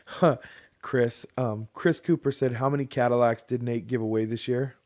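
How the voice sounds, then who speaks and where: A man hosting a podcast in casual conversation, speaking in a halting, hesitant way with dry joking. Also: mid-range voice, steady tone, darkish tone. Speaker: podcast host.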